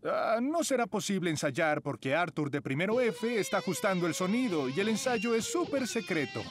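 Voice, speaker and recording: A man's voice: high voice, big pitch swings, normal speed, music in the background. A man voicing an officious young student gatekeeper in a dubbed cartoon, firm and curt. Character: officious young male student guarding a rehearsal. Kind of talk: cartoon dubbing.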